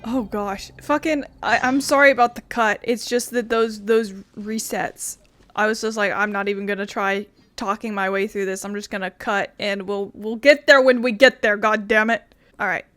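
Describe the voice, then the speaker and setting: A woman's voice: mid-range voice, fairly even intonation, moderate pace, fairly bright tone, no echo. A woman giving casual let's play commentary as a gaming streamer, sweary and rambling.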